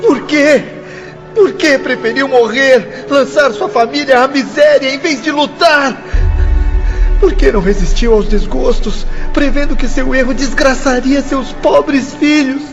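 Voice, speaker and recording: An actor playing a sorrowful, reproachful son in a radio drama, pleading tearfully in a drawn-out delivery. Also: male voice, very high-pitched. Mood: bitter grief. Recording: dull lo-fi audio with music.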